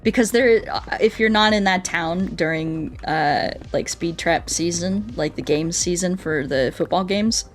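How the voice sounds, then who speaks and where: Young woman, American accent, lower-pitched voice, casual rambling streamer chat, music in the background. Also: a little slow, hardly any pauses. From United States. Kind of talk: casual livestream chat while gaming. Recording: music playing behind the voice.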